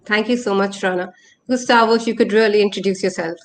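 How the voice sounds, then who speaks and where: A woman's voice: mid-range voice, fairly even intonation, quick pace. A woman moderating a panel discussion, reading a formal introduction and calling on speakers.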